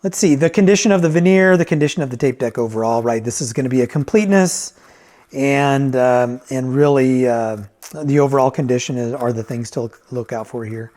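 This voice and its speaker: A man's voice: mid-range voice, brisk, a little mellow. A man giving a casual, off-the-cuff product review as a reviewer and repairer, conversational and thinking aloud as he goes.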